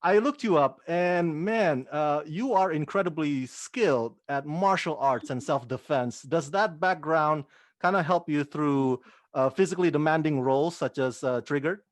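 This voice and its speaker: A man interviewing an actress, asking relaxed, friendly questions. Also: fairly high pitch, moderate pace, no room sound.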